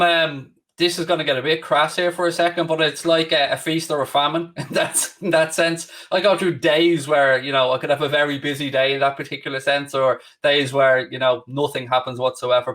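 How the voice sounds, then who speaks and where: A man's voice: medium pitch, a bit bright, far from the mic. A man in his late thirties with a Dublin Irish accent, hosting a podcast and answering questions candidly in a rambling, self-deprecating way. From Ireland. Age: late 30s. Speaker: podcast host. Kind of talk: casual chat.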